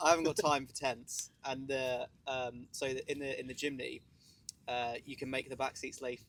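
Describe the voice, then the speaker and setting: Flat-voiced man speaking haltingly. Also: mid-range voice, slight background noise. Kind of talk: casual group chat. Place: outdoors in the desert.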